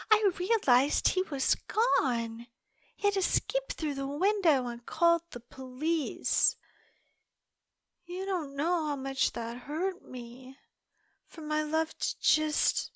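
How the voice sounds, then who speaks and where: A woman playing an obsessive, lovesick young yandere in an audio roleplay, coy and halting, slipping between hushed asides and emotional swells. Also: sits high, no echo. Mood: hurt, wistful. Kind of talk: audio roleplay monologue, intimate dramatic acting.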